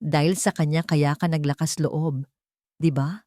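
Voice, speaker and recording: A woman voicing a cold, calm security chief who taunts and menaces in an audio drama. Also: sits low, no room sound, clean studio sound. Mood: cruel taunting. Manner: unhurried, insulting, emotionless. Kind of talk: dramatized audio story dialogue.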